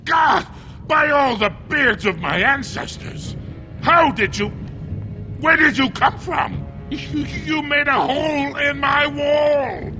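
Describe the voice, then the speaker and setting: A man's voice: very high voice, slow pace, music in the background, rough recording. A man playing a flustered, indignant dwarf shopkeeper in a video game, blustering and defensive. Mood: shocked outrage. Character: flustered, indignant dwarf shopkeeper, adult male, anxious and grumbling.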